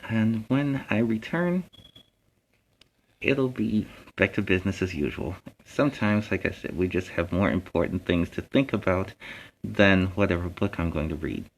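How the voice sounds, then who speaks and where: A man's voice: low-pitched, moderate pace, rough recording. A man hosting a stream, talking informally to viewers in an earnest, plain-spoken, emphatic way. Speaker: host of a costumed story time stream. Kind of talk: informal public safety talk to viewers. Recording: clear steady background noise.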